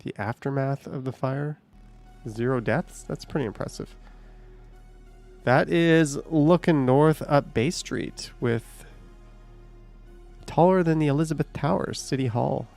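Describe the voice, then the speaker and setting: A man's voice: mid-range voice, a little slow, gravelly, long pauses, a little mellow, soft background music. A man hosting a live stream, chatting casually, thinking aloud and swearing now and then.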